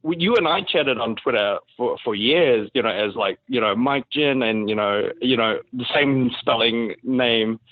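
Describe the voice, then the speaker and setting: A man's voice: medium pitch, measured pace, few pauses, dry recording. A man from New Zealand with a New Zealand accent, a guest actor chatting casually in an interview, full of fillers and easy laughs. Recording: tinny phone-like line, very dry.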